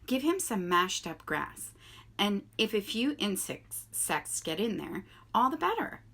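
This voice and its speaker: A woman's voice: medium pitch, sing-song, unhurried, pushed and bright, full character voice. A woman voicing an old female goose in a storybook reading, scolding and matter-of-fact. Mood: brisk. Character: bossy old gray female goose, blunt and brisk.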